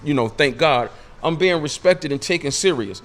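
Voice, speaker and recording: A man's voice: fairly high pitch, animated, moderate pace. A man in his late forties, a radio interview guest, talking emphatically in street slang and hammering his points with repetition. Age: 40s. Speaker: podcast guest.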